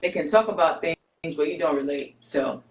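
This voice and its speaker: A woman's voice: low-pitched, reverberant, a little hiss or hum, rough recording. A woman born and raised in Greece, whose first language is Greek, tells her life story candidly and steadily as an interview guest. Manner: candid, steady, measured with pauses. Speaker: guest, basketball player.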